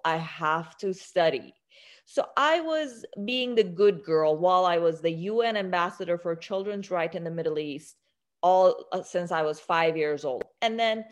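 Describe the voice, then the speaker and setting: A young woman from Iran, an interview guest, speaking earnestly with many restarts. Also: mid-range voice. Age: young adult. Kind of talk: interview conversation.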